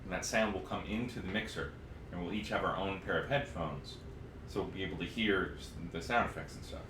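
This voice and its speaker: Male podcast co-host, low register, casual talk, audible noise and room echo. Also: a little fast. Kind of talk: casual chat while setting up a stream. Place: echoey room, podcast recording on stream. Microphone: podcast mic on adjustable arm. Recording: roomy, clearly noisy room recording.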